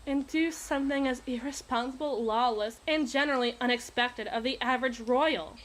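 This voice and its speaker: A woman's voice: high voice, moderate pace, fairly bright tone. A woman playing a possessive, imperious evil queen in an ASMR roleplay, speaking in formal, teasing, theatrical diction. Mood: wry, rebellious.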